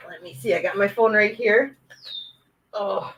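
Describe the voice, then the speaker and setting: A woman's voice: mid-range voice, steady tone, measured pace, a bit bright, breathy edge. A woman from Wisconsin with an Upper Midwest American accent, chatting casually on a livestream, reading viewer comments aloud in a bubbly, exclamatory way. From Wisconsin, USA. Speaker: livestream host.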